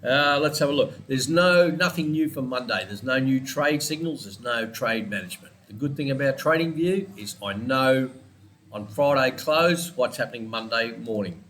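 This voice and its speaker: A congested Australian man talks through his weekly trading charts in a loose, chatty way and wanders off into side topics. His voice sits at an ordinary middle pitch, and a faint hiss of room noise sits under it. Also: fairly bright tone. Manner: chatty, rambling, digressive, with small laughs. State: congested with a head cold. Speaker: forex trading educator. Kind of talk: casual weekly market analysis.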